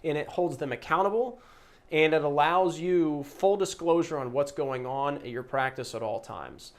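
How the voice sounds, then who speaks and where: Man giving an emphatic business sales pitch. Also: normal speed. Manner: emphatic, conversational sales pitch with rhetorical questions. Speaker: host and practice-management trainer pitching a service. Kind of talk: promotional explainer to camera or listener.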